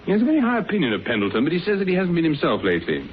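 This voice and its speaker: An actor playing a calm, commanding, dryly sardonic private detective and boss in a classic radio drama. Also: male voice, a little high, racing. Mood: reflective. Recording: noisy, narrow old radio recording.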